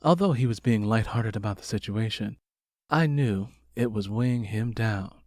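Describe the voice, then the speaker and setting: A man's voice: on the low side, normal speed, darkish tone, no room sound, clean studio sound. A man reading scripted first-person fiction as an audiobook narrator.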